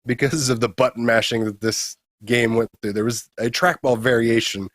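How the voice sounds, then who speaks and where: A man with a mid-pitched voice in a dry recording, co-hosting a gaming show. He talks casually but bluntly, stressing his opinions with salty slang. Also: fairly even intonation, moderate pace, fairly bright tone, no echo. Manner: blunt, emphatic, mildly crude slang. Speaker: co-host of a retro gaming show.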